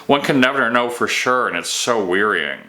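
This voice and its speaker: Male narrator as troubled king, suspicious, weary, emphatic questioning, bright tense tone, fantasy audiobook. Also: mid-range voice, expressive, normal speed, pitched above the performer's own voice.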